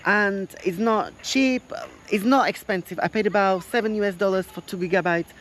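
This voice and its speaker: A woman's voice: mid-range voice, a little hiss or hum. A woman chatting casually as a travel vlogger, enthusiastic and filling her talk with "like" and "literally". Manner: fast, enthusiastic, many "literally"s and "like"s. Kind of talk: casual chat. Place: outdoors.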